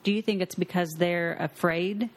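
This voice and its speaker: A woman co-host with a somewhat low voice chats in a relaxed, supportive way, quick to encourage and share her own stories. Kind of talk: casual chat.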